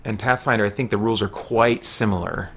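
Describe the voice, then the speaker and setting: A man in his forties giving casual livestream game commentary, halting as he thinks aloud. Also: fairly low pitch, a bit bright, slightly rough. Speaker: video game streamer and podcast host. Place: small room at home, indoors.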